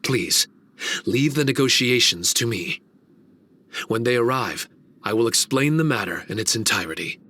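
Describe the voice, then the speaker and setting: A man's voice: mid-range voice, expressive, normal speed, pauses often, bright, forceful tone, slight background noise. A man playing an earnest, dutiful guardian spirit in a video game, speaking formally and courteously. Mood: resolute. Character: earnest, dutiful male guardian spirit of a mystic training domain. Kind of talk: video game cutscene voice acting.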